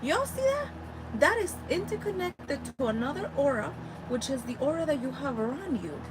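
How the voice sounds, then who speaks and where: A woman with a Salvadoran Spanish accent delivers a spiritual teaching and keeps turning to her listeners to ask if they see her point. Her voice sits high and swings widely in pitch. Clear vehicle and street noise runs behind her. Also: normal speed. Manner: animated, addresses listeners directly. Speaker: spiritual healer.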